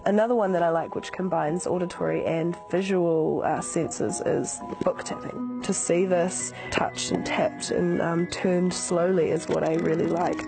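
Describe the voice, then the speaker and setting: Woman with lowish voice, music behind. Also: steady tone, a little slow, vocal fry, hardly any pauses, rough recording. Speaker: interviewee, ASMR fan. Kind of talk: interview talk.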